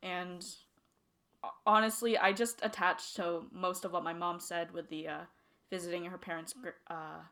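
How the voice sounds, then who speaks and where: A young woman with a slightly low voice talks in a relaxed, chatty way, sprinkling in "you know" as she reflects in an interview. Her delivery is easygoing and conversational. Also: moderate pace. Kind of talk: casual reflective interview talk.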